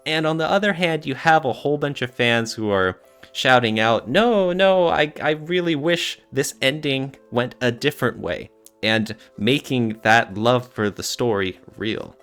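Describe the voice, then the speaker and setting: A man's voice: mid-range voice, unhurried, fairly bright tone, clean tone, soft background music. A man co-hosting a podcast, talking casually in an earnest, reflective way with some hedging. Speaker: podcast co-host.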